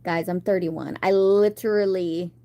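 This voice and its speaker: A woman's voice: on the low side, fairly even intonation, measured pace, dark tone, muffled recording. A woman in her thirties chatting casually on a live stream, rambling about her day and answering viewers. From United States. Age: 30s.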